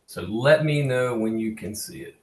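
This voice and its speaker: A middle-aged man with a Southern US accent presents informally over a webinar. His pitch is average for a man, he often doubles back on words, and the room echoes clearly around him. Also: moderate pace, darkish tone. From American South. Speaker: guest presenter, cemetery conservation consultant. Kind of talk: informal slide lecture. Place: online video call, echoey room. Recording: roomy, echoing webinar audio.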